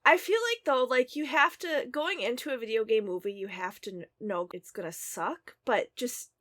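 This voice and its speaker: A woman's voice: a little high, moderate pace, a bit bright, no room sound. A young woman co-hosting a podcast, rambling and laughing as she talks. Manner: rambling, laughs often, restarts. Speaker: podcast co-host. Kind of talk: casual podcast chat.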